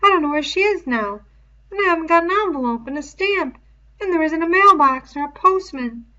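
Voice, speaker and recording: A woman's voice: extremely high pitch, expressive, a little fast, sharp tone, lo-fi sound, voice pushed up, heavily acted. A woman voicing an anxious, whiny young schoolboy, pleading and indignant, in a dramatized novel read-aloud. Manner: pleading, insistent, ungrammatical schoolboy speech.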